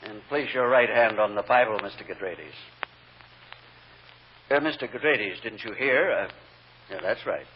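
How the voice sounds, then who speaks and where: An actor playing a calm, patient judge, measured and mildly commanding, in an old radio courtroom drama. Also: male voice, lowish voice, close to an everyday voice. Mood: patient, instructing. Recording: muffled, noisy old radio recording.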